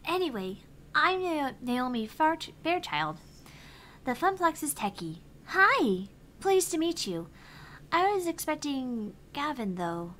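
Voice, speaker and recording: A woman's voice: sits high, very animated, slow, fairly bright tone, slight background noise, heavily acted. A woman voicing a cheerful, easily flustered young female arcade technician in a visual novel, chirpy and stammering. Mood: bright, friendly. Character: cheerful young female arcade repair techie, eager geek. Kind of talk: visual novel character dialogue.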